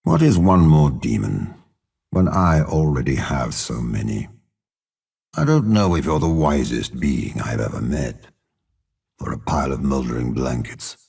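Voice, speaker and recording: An actor playing a ruthless, imperious older warlord bound to a demon in a video game, with a rasping voice and a menacing, haughty delivery. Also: male voice, very animated, darkish tone, exaggerated voice. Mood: calm disdain. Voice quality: very deep, gravelly, rasping. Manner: slow, measured, menacing, haughty. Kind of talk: dramatic video game character voice lines.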